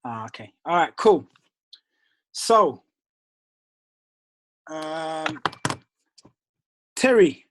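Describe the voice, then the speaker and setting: A man's voice: on the high side, big pitch swings, extremely slow, pauses often, no room sound. A man hosting a group call, reading names and firing up his team in a casual, motivational way. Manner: casual, calls people bro and dude.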